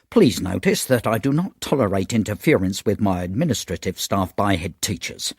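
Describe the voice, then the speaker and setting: A man's voice: fairly low pitch, husky, no room sound, studio quality, heavily acted. A man playing a pompous, irritable county education official, officious and indignant, in a radio drama.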